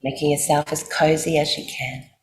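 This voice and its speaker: A woman with an Australian accent guides a sleep meditation in a soothing, unhurried way, leaving long pauses. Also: low-pitched, flat delivery. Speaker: yoga nidra guide. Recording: roomy echo, faint background noise.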